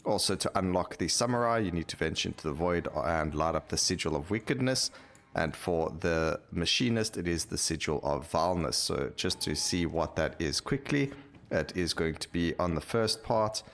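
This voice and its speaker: Male gaming host, notably low voice, casual and enthusiastic explainer. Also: animated, normal speed, fairly bright tone. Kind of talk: casual gameplay commentary.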